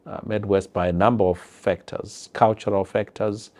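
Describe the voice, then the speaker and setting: A man's voice: lowish voice, fairly even intonation, moderate pace, a little mellow. A man with a Zimbabwean accent, a health professional giving an earnest, explanatory interview.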